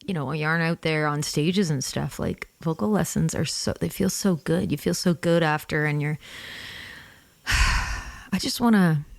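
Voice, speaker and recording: A woman in her forties, chatty and animated as she hosts an easygoing interview. Her voice sits a touch low for a woman, and the recording is close and dry, with no room sound around her. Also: measured pace, gravelly, no echo. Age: 40s. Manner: chatty, animated, swears casually. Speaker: podcast host and singer. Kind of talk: casual interview chat.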